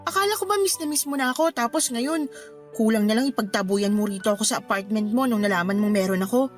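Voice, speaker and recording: A woman's voice: on the high side, fast talker, faint music behind, close to an everyday voice. A woman playing a hurt, stubborn young girlfriend in a radio drama, with a pouty, reproachful manner. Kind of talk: Tagalog radio drama acting.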